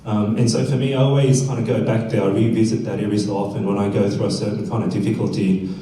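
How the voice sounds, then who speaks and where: A man reflecting on his life in conversational, measured speech as a panelist. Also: fairly low pitch, dark tone. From South Korea, then Australia. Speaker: panelist at a community fireside chat. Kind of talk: reflective off-the-cuff personal storytelling. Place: event stage in an echoey indoor room. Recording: echoey room, distant mic, faint noise.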